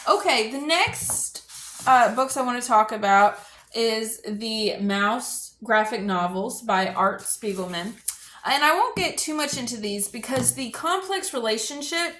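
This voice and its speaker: A woman's voice: fairly high pitch, unhurried, a bit bright. Casual, chatty woman discussing books. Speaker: book review video host.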